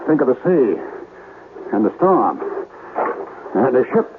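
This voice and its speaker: A noisy, muffled vintage radio recording of a low male voice with lively pitch swings. It is a haughty, reluctant property buyer who scares easily, delivered theatrically in 1970s radio drama style. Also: a little slow. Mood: frightened.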